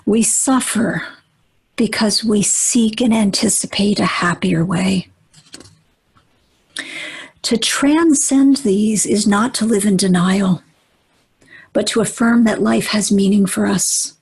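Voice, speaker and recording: Breathy, husky woman guiding meditation slowly. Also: mid-range voice. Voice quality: breathy, husky, often near whisper. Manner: gentle, deliberate instruction with long pauses. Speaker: meditation guide. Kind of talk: guided meditation.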